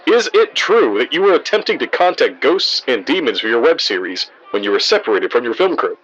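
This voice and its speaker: A man's voice: medium pitch, big pitch swings, a little fast, a bit bright. A man playing an eager, pushy TV news field reporter, delivering a live report in an audio drama. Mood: sensational. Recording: dull sound with clear noise.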